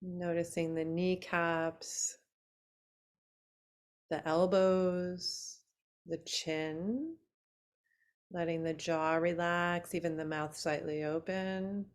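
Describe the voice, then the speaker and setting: A woman teaching yoga nidra, guiding a relaxation meditation in a soothing, unhurried way with long pauses between short phrases. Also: fairly low pitch, steady tone.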